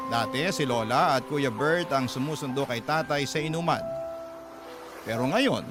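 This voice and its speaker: A man with a Filipino accent narrating a first-person story in radio drama style. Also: fairly high pitch. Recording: music bed behind the voice.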